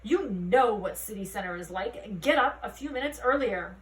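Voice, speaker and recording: A woman voicing a gruff, angry male fairy police commander who scolds in a storybook reading. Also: mid-range voice, expressive, normal speed, natural voice. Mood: roaring. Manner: barking, scolding. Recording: roomy, distant mic, faint noise.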